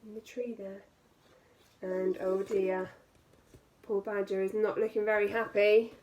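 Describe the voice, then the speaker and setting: A woman's voice: medium pitch, little pitch movement, measured pace, a little mellow, slight background noise, poor audio. A woman reading a picture book aloud to young children as a teacher, expressively stretching key words and adding little asides to the listeners.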